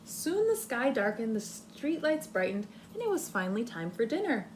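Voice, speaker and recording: A woman reads aloud to young children as their teacher. Her voice is fairly high and swings up and down with plenty of expression. She phrases deliberately and invites listeners to think, over clearly audible noise. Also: very animated. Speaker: kindergarten teacher reading aloud.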